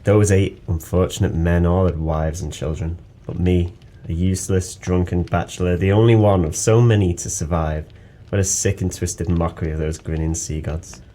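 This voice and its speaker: An actor playing a guilt-ridden, drunken former sailor in an audio drama, bleak and bitter, with a brooding, poetic delivery. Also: male voice, low voice, steady tone, normal speed, dark tone, a little hiss or hum. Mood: bitter, resigned. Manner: brooding, poetic, theatrical monologue.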